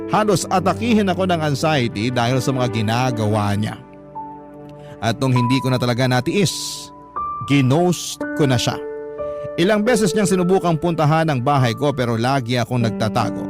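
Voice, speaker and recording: An actor playing a troubled, embarrassed young Filipino man, narrating his love story in a measured, confessional way for radio. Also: mid-range voice, natural voice. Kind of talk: scripted first-person radio drama narration. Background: background music.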